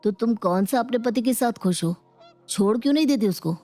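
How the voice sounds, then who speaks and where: A woman voicing a smooth-talking young man who sweet-talks and persuades in a dramatized moral story. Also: on the high side, animated, brisk, warm tone, soft background music, natural voice. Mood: persuasive, pleading. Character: smooth-talking young male online suitor, flattering and insistent.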